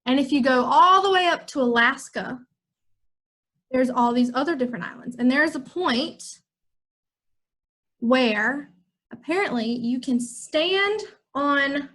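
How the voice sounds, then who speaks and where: Upbeat high-voiced woman teaching music. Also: a bit bright. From United States. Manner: upbeat and chatty, talking to young students. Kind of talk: online music lesson for children.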